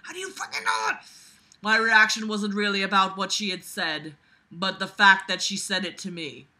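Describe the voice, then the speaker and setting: A woman's voice: medium pitch, expressive, moderate pace, bright, forceful tone. A woman reading a novel aloud and breaking in with exasperated, sarcastic, foul-mouthed commentary. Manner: exasperated, sarcastic, swearing freely. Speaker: book reader and commentator.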